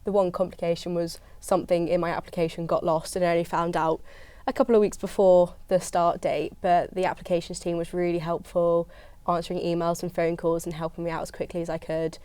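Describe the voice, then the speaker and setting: Smooth, flat-toned young woman from Surrey. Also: lowish voice, a little fast, dry recording. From Surrey, England. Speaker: postgraduate student panelist. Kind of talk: casual panel answers.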